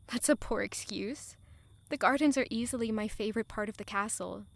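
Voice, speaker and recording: High-voiced woman as an affectionate young sweetheart. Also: fairly quick, creaky, no room sound. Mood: playful, teasing. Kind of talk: audio drama acting.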